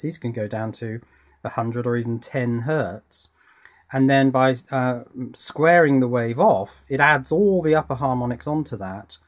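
A middle-aged man with a medium-pitched voice presents as an electronics hobbyist. He explains in an informal, hands-on way, working through his thoughts aloud as he talks. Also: a little mellow. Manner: thinking aloud. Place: indoor workbench.

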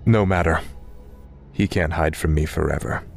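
A man's voice: a bass voice, moderate pace, gravelly, soft background music. A man playing a resolute young prince in a video game, speaking with confident, clipped command. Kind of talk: video game cutscene acting.

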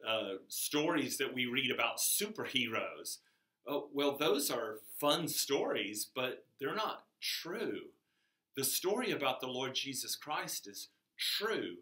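An older man giving a chapel sermon to children in a classroom, speaking slowly and emphatically, his voice rising on key words. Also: mid-range voice, sharp tone. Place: classroom with a whiteboard, indoors. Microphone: camera across the room. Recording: echoey classroom from far off.

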